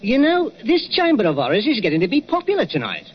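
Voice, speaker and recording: Cockney watchman, folksy and chuckling, high lively voice, 1940s radio. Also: quick pace, husky, full character voice. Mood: chatty. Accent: London Cockney. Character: cheery Cockney night watchman, middle-aged man. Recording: dull old radio recording with hiss.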